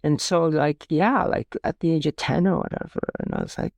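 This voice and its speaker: A man's voice: on the high side, wildly swooping pitch, normal speed, vocal fry, no echo. A middle-aged man from Vietnam who grew up in Canada, telling stories casually and informally as a podcast guest, rambling and acting out quoted remarks. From born in Vietnam, immigrated to Canada at ten. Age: middle-aged or older. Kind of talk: casual, reflective storytelling interview.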